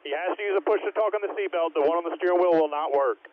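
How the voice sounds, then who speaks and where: A man's voice: on the high side, very fast, phone-quality sound, slight background noise. A man working on a race crew, talking to his driver over team radio.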